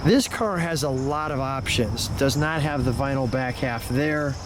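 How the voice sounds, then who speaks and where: A man's voice: medium pitch, measured pace. An enthusiastic American man hosting a casual car walkaround, chatting and commenting as he goes. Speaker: host of a car video series. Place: outdoors beside a parked car. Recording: noisy outdoor recording, insects and vehicles.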